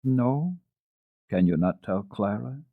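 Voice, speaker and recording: A man voicing a kind, pious old grandmother in a storybook, gently and patiently coaxing. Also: fairly low pitch, expressive, slow, gravelly, no room sound, narrow, tinny audio, heavily acted. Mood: gentle concern.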